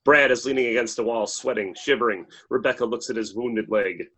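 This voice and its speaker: A man narrates stage directions for a script read. The voice is mid-pitched with a rough, husky edge. The delivery is plain scripted narration. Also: brisk, sharp tone.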